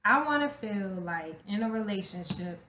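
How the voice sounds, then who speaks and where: A woman's voice: mid-range voice, animated, moderate pace, bright, forceful tone, a little hiss or hum. A young woman co-hosting a podcast, talking casually and candidly in an emphatic, slangy way with plenty of swearing. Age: young adult. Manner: emphatic, slangy, profanity-laced. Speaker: podcast co-host. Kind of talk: casual, candid relationship talk. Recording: echoey room.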